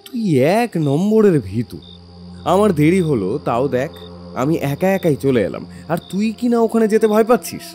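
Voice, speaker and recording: A man plays a fearless village youth in a horror audio drama. He chides and scoffs at his friends in a slightly high, soft-edged voice. Faint music sits behind him. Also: sing-song, normal speed. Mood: chiding. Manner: chiding, dismissive, questioning.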